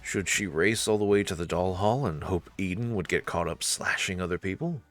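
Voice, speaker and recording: A man's voice: low-pitched, normal speed, fairly bright tone, soft background music. A man narrating a visual novel as a dramatic story, reading aloud for a let's play.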